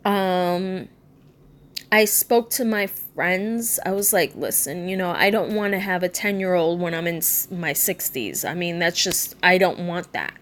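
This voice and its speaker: Vlogger, woman, mid-thirties, slow and hesitant, soft-spoken, lots of pauses and fillers. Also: fairly low pitch. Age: 30s. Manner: slow, halting, subdued and candid, many ums and restarts. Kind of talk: personal vlog talking to camera.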